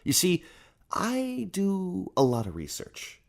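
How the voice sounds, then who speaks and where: A man's voice: sits high, wildly swooping pitch, slow pace, exaggerated voice. An actor playing an earnest, polite, hesitant male literary interviewer in an audio drama.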